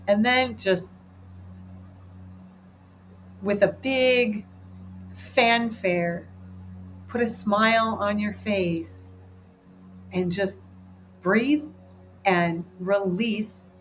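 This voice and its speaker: A woman's voice: mid-range voice, a little hiss or hum. A woman leading a group through a guided meditation in an informal, encouraging, improvised way. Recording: clear background music under the voice. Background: music.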